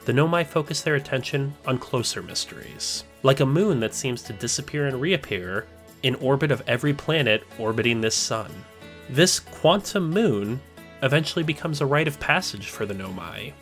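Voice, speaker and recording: Male narrator for a scripted essay, measured and explanatory, mid-pitched voice, faint music bed. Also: a bit bright.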